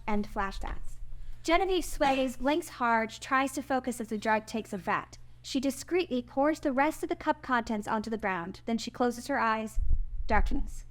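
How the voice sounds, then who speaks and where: A woman narrator reading stage directions in a scripted screenplay table read. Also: fairly high pitch.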